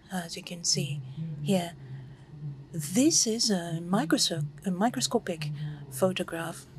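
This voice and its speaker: A woman interpreting a formal lecture in real time, with halting, formal phrasing and pauses as she follows the speaker. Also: medium pitch, noisy background. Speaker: conference interpreter.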